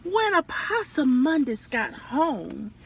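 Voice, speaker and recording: A woman reads a storybook to children in a high, very animated voice. She takes her time and stops to put questions to young listeners. Light noise sits behind her. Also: slow, gravelly. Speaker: children's read-aloud storyteller.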